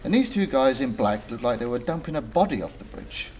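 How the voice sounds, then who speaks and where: A man's voice: medium pitch, big pitch swings, brisk, a little mellow, a little hiss or hum, lightly acted. A man playing an eager young conspiracy-minded student in a radio drama, telling his story informally and earnestly. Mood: eager, conspiratorial.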